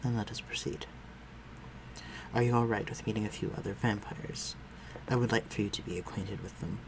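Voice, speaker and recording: Man playing a deep, refined vampire lord. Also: noisy background. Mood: measured, formal. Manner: formal, measured, archaic phrasing, dropping to breathy murmurs. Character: refined, protective male vampire lord, commanding yet gentle. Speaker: voice actor in audio roleplay. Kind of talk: intimate audio roleplay acting.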